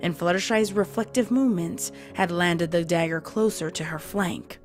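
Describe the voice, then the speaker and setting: Low-voiced woman narrator over music. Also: normal speed. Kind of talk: scripted story narration. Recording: prominent music under narration.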